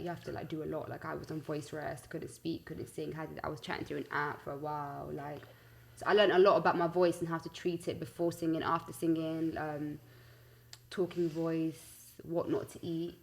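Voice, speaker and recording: A woman's voice: low voice, little pitch movement, normal speed, creaky. A young woman with a London accent chatting casually as an interview guest, telling stories in an informal, slangy, giggly way. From West London, UK. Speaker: singer-songwriter interview guest. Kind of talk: casual chat.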